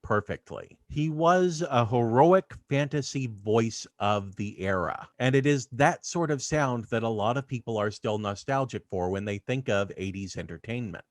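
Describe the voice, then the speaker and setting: A male video host delivers scripted narration at a middling pitch. The sound is dry, with very little room around the voice. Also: dry recording.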